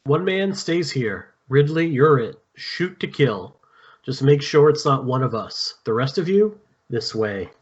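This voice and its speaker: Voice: mid-range voice, very animated, measured pace, husky. A table reader playing a commanding male squad leader, giving terse orders. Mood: stern, commanding. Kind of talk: scripted table-read acting. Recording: noisy, dull lo-fi call audio.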